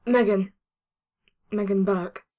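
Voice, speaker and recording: A woman's voice: mid-range voice, fairly even intonation, takes it slowly, dark tone, close to an everyday voice. A woman voicing a weary, injured young female survivor in a story reading, plaintive and earnest. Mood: weak, strained.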